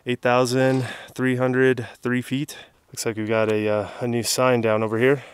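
A man's voice: mid-range voice, level tone, normal speed, clean tone. A man in his thirties vlogging casually outdoors on a mountain summit after a hard hike, tired, chatty and laughing often, recorded on a handheld camera at arm's length. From Southern California. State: exhausted after a hard climb. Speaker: hiking vlogger. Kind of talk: casual vlog talk to camera.

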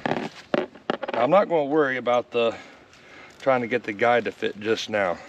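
A middle-aged man giving a relaxed, matter-of-fact how-to demonstration outdoors in a yard, thinking aloud as he works on camera. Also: medium pitch, unhurried, highs cut off, slight background noise. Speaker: homesteader demonstrating a repair. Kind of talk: casual how-to narration.

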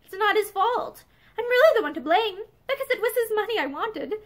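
A woman reading aloud, voicing a tearful young woman who pleads in a halting voice broken by sobs. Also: very high-pitched, animated, voice pushed up. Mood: remorseful.

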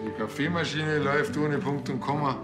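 A man's voice: on the high side, moderate pace, echoey room, loud music behind the voice, close to an everyday voice. An actor playing a grumbling, self-important village policeman with a blunt Bavarian way of speaking. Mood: dry, casual. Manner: blunt, colloquial.

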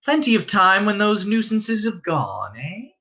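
A man performing a honeyed, scheming innkeeper's wife for an audiobook. She talks up high and lilting, all cooing pet names, with a bright edge under the sweetness. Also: very animated, unhurried, full character voice. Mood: cooing, falsely sweet. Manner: coaxing, wheedling, sweetly sinister.

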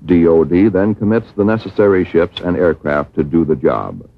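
An American man reading a scripted educational film narration in a measured, formal manner. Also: low voice, creaky. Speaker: documentary narrator. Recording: muffled, worn 1960s film soundtrack.

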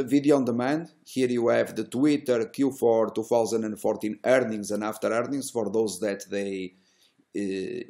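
A middle-aged man who is not a native English speaker, giving unscripted market commentary in halting fragments with drawn-out hesitations and a self-assured manner. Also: fairly low pitch, creaky, dark tone. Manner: self-assured, boastful about results. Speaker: stock trading educator and host. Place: small indoor room.

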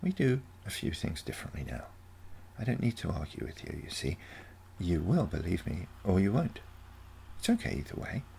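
Storybook teen granddaughter played by a man, calm, condescending, self-assured, gravelly, with clear background noise. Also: low voice, moderate pace, full character voice. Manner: calm, matter-of-fact, condescending. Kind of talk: scripted short story reading.

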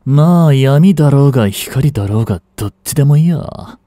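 A man acting as a cynical, aloof young street delinquent in a voice drama, with rough, casual, lazily teasing speech, close to the mic. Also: mid-range voice, animated, moderate pace, mellow, no echo, lightly acted. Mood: aloof, dismissive. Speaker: voice actor. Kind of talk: Japanese voice drama aimed at women, one-sided dialogue. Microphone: close studio mic. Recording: dry close-miked studio recording.

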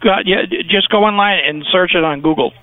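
A man's voice: high voice, a little fast, no room sound. A man on a phone call, answering in a terse, patient, matter-of-fact way. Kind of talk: phone conversation. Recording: muffled, dry phone line.

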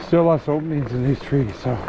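A man casually narrating his fat bike ride outdoors, thinking aloud in a relaxed, halting way. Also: medium pitch, lo-fi sound, noisy background. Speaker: vlogger riding a fat bike. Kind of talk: casual narration while riding. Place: outdoors on snowy wooded trails.

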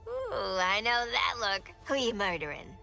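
A woman's voice: medium pitch, wildly swooping pitch, unhurried, creaky, sharp tone, soft background music, full character voice. A woman playing a playful, unhinged villainess in a video game, flippant and cutesy in manner. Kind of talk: video game cutscene acting.

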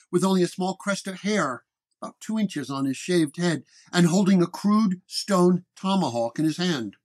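A man narrating a first-person detective horror story in a dramatic storytelling manner. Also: sits high. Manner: storytelling, acting out dialogue.

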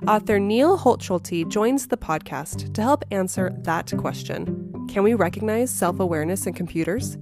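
A woman's voice: mid-range voice, lively intonation, normal speed, over music. A woman hosting an interview podcast, asking questions and introducing the topic. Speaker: podcast host.

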